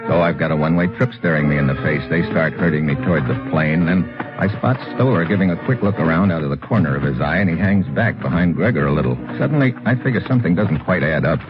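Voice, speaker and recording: Wry hard-boiled american agent, low voice, old radio drama, muffled recording. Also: male voice, lively intonation, fast, over music. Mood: tense narration. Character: tough wisecracking American male government agent, narrating his adventure. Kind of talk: old-time radio adventure acting and narration.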